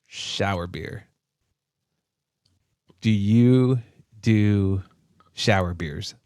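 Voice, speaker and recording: A man's voice: fairly low pitch, drawn-out words, a little mellow, clear, resonant tone, no echo, studio quality. A man co-hosting a podcast, chatting enthusiastically and profanely with plenty of fillers. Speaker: podcast co-host. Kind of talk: casual chat.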